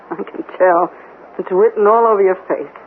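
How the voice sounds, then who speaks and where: An actress playing a feisty, defensive middle-aged mother with a sharp-tongued, suspicious manner in a 1970s radio drama. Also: medium pitch, moderate pace, close to an everyday voice. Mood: suspicious, defiant. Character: feisty, defensive mother who cleans offices at night. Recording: tinny, noisy old radio broadcast.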